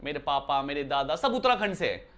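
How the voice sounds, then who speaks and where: A man teaching maths in a bright, clear voice, talking to his students casually. He explains step by step and keeps asking them whether they follow. He is from Uttarakhand and records indoors. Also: on the high side, lively intonation, a little fast. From Uttarakhand, India. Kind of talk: classroom lesson explanation. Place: indoor room.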